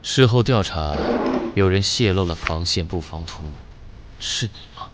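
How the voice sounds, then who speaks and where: An actor playing a stern, powerful veteran fighter in an animated drama, questioning coldly and accusingly. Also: male voice, low voice, unhurried, heavy background noise. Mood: accusing, intense. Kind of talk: animated web drama dubbing.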